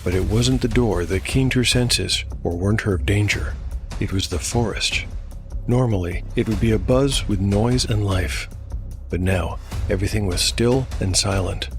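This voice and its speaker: A man narrating a scripted dark fantasy audio drama in a measured, dramatic storytelling manner. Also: fairly low pitch. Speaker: narrator and host. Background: music.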